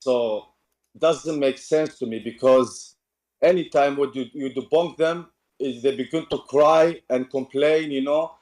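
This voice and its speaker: Halting male caller. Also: medium pitch, darkish tone. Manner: halting, restarts often, drops into Arabic sayings. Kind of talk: casual call-in chat.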